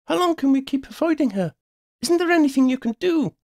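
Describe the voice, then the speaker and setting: A man's voice: very high voice, lively intonation, brisk, vocal fry, no room sound, clean studio sound, pitched above the performer's own voice, exaggerated voice. A man voicing a bold, bantering young woman, a broom-flying witch in a storybook, calling out urgently. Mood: worried, urgent. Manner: shouting, urgent, exclaiming.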